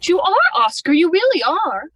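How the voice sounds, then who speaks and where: A woman plays a young Edwardian wife with a high voice that swoops with animation. She is genteel and fondly exasperated, teasing politely in old-time radio style. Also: very animated, moderate pace, a bit bright, exaggerated voice.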